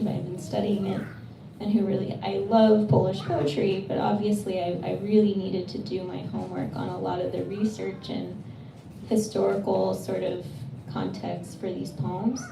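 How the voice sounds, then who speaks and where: Woman translator, earnest and thoughtful, gravelly edges, distant mic, roomy noisy bookstore. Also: medium pitch. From United States. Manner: thoughtful, earnest, with frequent restarts. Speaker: poetry translator. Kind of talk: answering questions at a live reading. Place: bookstore event space.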